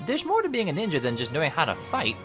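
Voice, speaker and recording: A man's voice: fairly high pitch, wildly swooping pitch, fast talker, over music. A man voicing earnest, thoughtful teenage turtle ninjas in an encouraging manner. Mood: earnest, curious.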